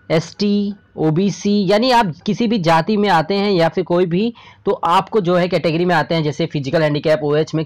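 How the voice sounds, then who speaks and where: Male Indian explainer host, slightly high voice, informal and repetitive, talking straight to viewers as friends. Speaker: host of a government job news channel. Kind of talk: informal explainer narration over a screen recording.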